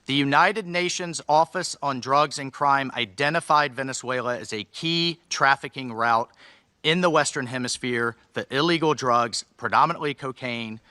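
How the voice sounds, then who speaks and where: A man delivering a formal prepared speech at a measured pace, reading from a text. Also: medium pitch, sharp tone, smooth, no room sound. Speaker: official giving a speech.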